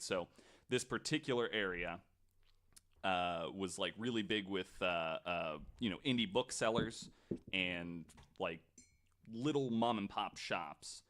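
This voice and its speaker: Man, lowish pitch, podcast host, casual explainer, halting delivery, informal and unpolished. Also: fairly bright tone. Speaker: podcast host presenting a researched story.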